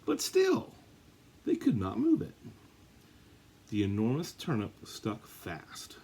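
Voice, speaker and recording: Male children's story reader, husky tone, highly varied intonation, theatrical stress on key words. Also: huge pitch swings, mellow. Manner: dramatic, drawn-out emphasis and repetition. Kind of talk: animated read-aloud of a children's picture book.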